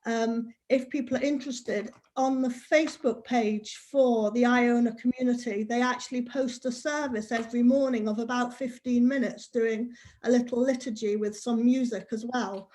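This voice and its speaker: A woman's voice: on the high side, steady tone, moderate pace, hardly any pauses, dark tone. Chatty woman with an English accent. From Scotland. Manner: chatty, lots of ums. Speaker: guest. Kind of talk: casual chat.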